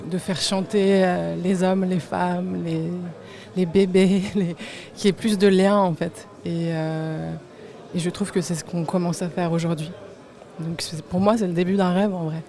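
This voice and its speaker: A woman talking reflectively as a panelist, listing her thoughts with pauses. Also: fairly low pitch, dark tone. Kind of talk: reflective roundtable talk.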